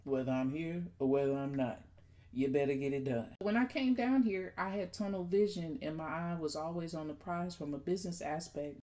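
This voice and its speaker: A woman from the Midwest hosts a casual podcast, her voice sitting slightly on the low side. She tells her story in a playful, talkative way and often turns to speak directly to her audience. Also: a little mellow. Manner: chatty, playful, talks straight to listeners. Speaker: podcast host.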